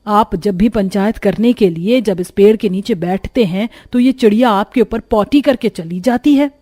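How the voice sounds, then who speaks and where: A woman's voice: medium pitch, moderate pace, warm tone, close to an everyday voice. A woman playing a motherly village wife in a Hindi cartoon story, talking in an explanatory way. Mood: complaining.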